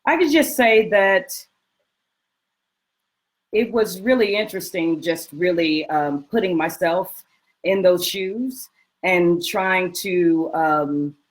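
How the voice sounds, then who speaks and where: A woman's voice: on the low side, slow. A woman, a guest performer, reflecting earnestly and hesitantly in an informal panel discussion.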